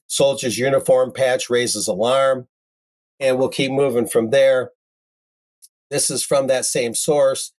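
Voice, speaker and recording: A man presenting news commentary, reading articles aloud and adding wry, conversational asides of his own. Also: mid-range voice.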